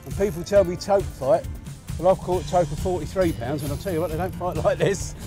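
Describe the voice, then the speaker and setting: An older man with an English accent presents a fishing show from a small boat. His voice sits a little high for a man, and he comments casually on the action. Light music plays in the background. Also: fairly quick. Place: outdoors on a small boat at sea.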